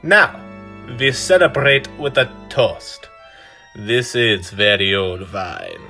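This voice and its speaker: A man acts a courtly, sinister vampire lord for a radio play. His delivery is slow and deliberate, with formal, theatrical phrasing. The tone is bright and forceful. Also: fairly low pitch, very animated, creaky, faint music behind, heavily acted. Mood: silky, sinister. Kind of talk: radio drama acting.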